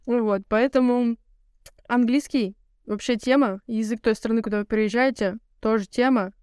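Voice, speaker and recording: A woman's voice: a little high, fairly even intonation, a little mellow, clean tone, dry recording, clean studio sound. A young woman co-hosting a podcast, chatting casually with lots of filler words. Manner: rapid, filler-heavy slangy talk. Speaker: podcast co-host. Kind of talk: casual chat.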